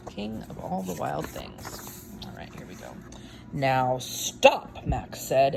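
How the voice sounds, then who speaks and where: A woman reading a picture book aloud in an expressive, unhurried way, with wry, casual asides. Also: low-pitched, vocal fry, slight background noise, poor audio.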